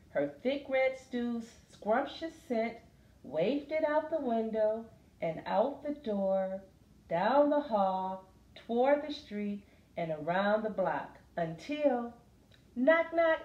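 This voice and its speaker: A woman reading a children's story aloud expressively, pausing to put questions to her listeners. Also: a little high, sing-song, lo-fi sound. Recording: roomy, echoey sound.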